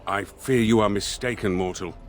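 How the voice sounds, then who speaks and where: Game role: man plays solemn spirit possessing a dead body; deep, measured, formal. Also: faint music behind, natural voice. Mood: grave. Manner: formal, stiff, measured speech. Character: solemn male spirit inhabiting a dead man's decaying body. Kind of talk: video game voice acting.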